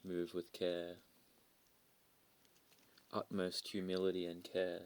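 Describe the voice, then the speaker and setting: A man delivering a dramatic spiritual monologue in solemn, deliberate short declarative phrases. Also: low-pitched, completely flat delivery, a little mellow, smooth, rough recording.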